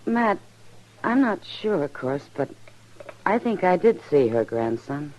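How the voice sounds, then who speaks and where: A woman's voice: sits low, very animated, slow, darkish tone, exaggerated voice, low-quality recording. An American woman playing a frank, self-possessed saloon keeper in an old-time radio western, direct and unflustered. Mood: confiding, earnest. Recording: vintage radio recording.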